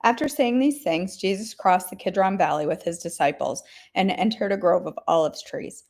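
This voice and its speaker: Wheezy woman devotional host, coughs and clears her throat, chatty and direct with listeners, reads scripture aloud. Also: mid-range voice, moderate pace, darkish tone. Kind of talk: casual chat then Bible reading aloud.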